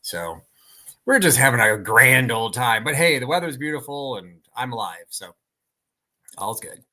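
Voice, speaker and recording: A man's voice: medium pitch, lively intonation, moderate pace, bright, forceful tone. A chatty man co-hosting a live-stream sale over a video call, getting over a sinus infection and laughing often. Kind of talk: casual live-stream chat.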